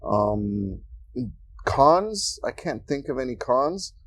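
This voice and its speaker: A man from Ecuador speaking as a guest in a casual interview in a coworking space, relaxed and joking as he tells stories. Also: mid-range voice, takes it slowly, a little hiss or hum. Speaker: guest, freelancer.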